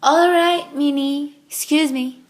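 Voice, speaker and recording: A woman's voice: high-pitched, fairly even intonation, slow pace. An actress playing an eager, naive, headstrong young woman in love, in a radio play. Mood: bright, delighted.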